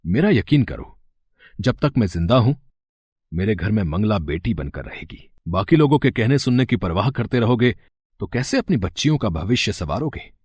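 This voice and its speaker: A man playing a kind, wealthy middle-aged village landlord, measured, earnest and reassuring, in a moral story drama. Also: lowish voice, big pitch swings, darkish tone, husky, no room sound, clean studio sound.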